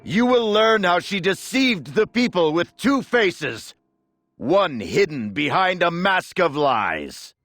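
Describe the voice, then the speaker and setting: Grandiose scheming nobleman, high-pitched and theatrical. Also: big pitch swings, fairly bright tone, no room sound, heavily acted. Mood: solemn, grandiose. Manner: grandiose, measured, oratorical. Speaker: villain orator in a fantasy game. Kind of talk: theatrical dramatic speech.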